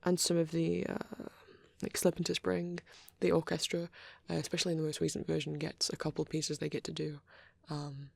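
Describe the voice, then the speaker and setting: A shy vampire boy, played by a man, rambles softly in an ASMR roleplay. His voice is low-key and gravelly with fry, hesitant and bashful. It sounds very close and dry, often sinking toward a whisper. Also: completely flat delivery, normal speed, few pauses, dry recording. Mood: bashful, nostalgic. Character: shy, soft-spoken vampire boy on a date. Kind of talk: rambling intimate ASMR chat.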